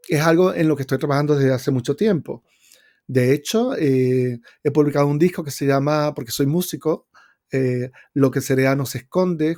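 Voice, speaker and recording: A man's voice: fairly high pitch, mellow. A man from Venezuela with a Venezuelan accent, answering interview questions thoughtfully with many fillers and restarts. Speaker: guest researcher.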